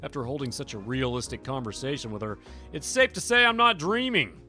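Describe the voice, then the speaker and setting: Bright-voiced, animated livestreamer reading a game. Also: mid-range voice, fairly quick. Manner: playful, joking, often repeats phrases. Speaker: streamer playing a visual novel. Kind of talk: casual livestream chat and reading game text aloud. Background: game music.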